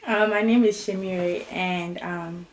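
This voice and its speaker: A twenty-year-old woman you might hear on a youth panel, chatting casually in a clearly echoey room. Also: on the low side, steady tone, a little slow, a little hiss or hum. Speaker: podcast guest. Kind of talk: casual chat.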